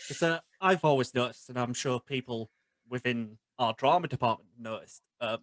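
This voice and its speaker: A man in his twenties hosts a casual interview. His voice sits at a middling pitch and sounds dry, with no room around it. He words his questions haltingly, stammering a little as he starts. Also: no room sound. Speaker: podcast host, interviewer.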